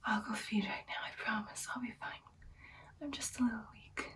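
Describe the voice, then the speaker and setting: A woman playing an ancient, caring female vampire in a whispered ASMR roleplay, speaking in soft, breathy, hesitant phrases. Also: on the high side, steady tone, moderate pace, distant mic. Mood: weary, reassuring.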